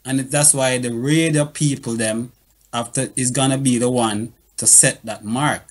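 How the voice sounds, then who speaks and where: A man's voice: mid-range voice, steady tone, normal speed. A man, a music producer, talking earnestly in a casual panel discussion and often repeating words as he searches for them.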